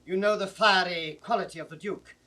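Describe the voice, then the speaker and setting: An elderly nobleman in a classical audio drama, anxious and placating. His voice is high, with a hurried, deferential delivery. The old recording sets him a little off the microphone. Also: bright, forceful tone, distant mic. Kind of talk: classical Shakespearean stage acting.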